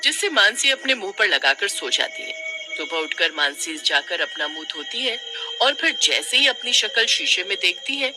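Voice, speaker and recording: A man's voice: high-pitched, very animated, moderate pace. A man narrating a scripted cartoon horror story as a storyteller. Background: music.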